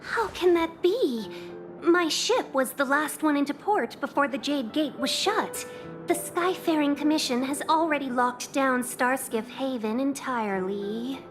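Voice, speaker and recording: High-pitched young female game envoy: silver-tongued, polite, formal, over music. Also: measured pace. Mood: puzzled. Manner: formal, polite, flowery speech. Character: courteous, silver-tongued young woman merchant guild envoy. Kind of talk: video game voice acting.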